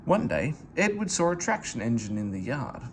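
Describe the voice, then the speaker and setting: A man narrating a children's storybook aloud, in a gentle, expressive way. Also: mid-range voice, moderate pace, a little hiss or hum.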